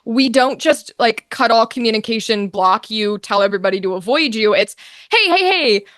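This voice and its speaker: Female interview guest, slightly high voice, casual and expressive, performer's flair, very dry sound. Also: normal speed, no room sound. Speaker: guest, actor and game master.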